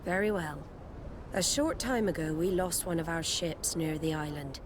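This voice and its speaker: An actress playing a commanding, solemn female admiral in a video game, speaking formally and deliberately. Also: low-pitched, noisy background, close to an everyday voice. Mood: solemn, authoritative. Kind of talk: dramatic game dialogue.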